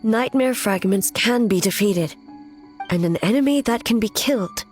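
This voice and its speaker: A woman's voice: a little high, darkish tone, natural voice. Woman acting a solemn, resolute shogun with music behind. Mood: grave, measured. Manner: measured, formal, grave. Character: solemn, resolute female shogun, ancient warrior ruler. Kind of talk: video game cutscene acting.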